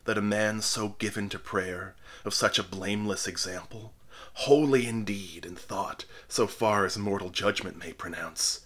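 A man's voice: lowish voice, sharp tone, husky, heavily acted. A man voicing an anxious elderly male minister who speaks formally and pleads.

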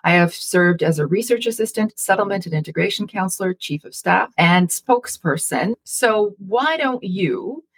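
A woman hosts a career chat as a career development practitioner. She talks in a chatty way, often hedging with "kind of". Her voice sits at an ordinary pitch for a woman. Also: expressive, moderate pace, few pauses, breathy edge. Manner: chatty, with many uses of "kind of". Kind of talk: career interview.

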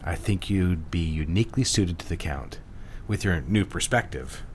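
Man playing a low-voiced, patronizing office boss. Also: animated, normal speed, a little hiss or hum. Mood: brisk, patronizing. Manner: assured, teasing, condescending. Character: smooth, patronizing male ad executive boss. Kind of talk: period office audio drama acting.

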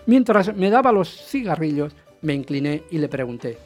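Jealous husband, radio drama, highly animated intonation, agitated, insistent, dramatic storyteller. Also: male voice, moderate pace, soft background music. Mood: brooding narration. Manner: dramatic, insistent, swinging from narration to heated questioning. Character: jealous, agitated husband recounting his hunt for a rumour. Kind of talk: radio theatre narration and dialogue.

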